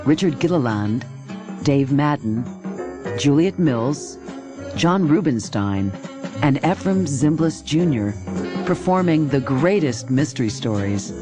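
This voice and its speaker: A woman's voice: very deep voice, lively intonation, unhurried. A woman announcer reading scripted audiobook credits. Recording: music under the voice.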